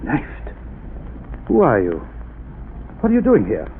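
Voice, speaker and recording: A man playing a nervous, suspicious laboratory chief in a 1930s radio drama, quick to accuse. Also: mid-range voice, wildly swooping pitch. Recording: tinny old radio broadcast with faint hiss.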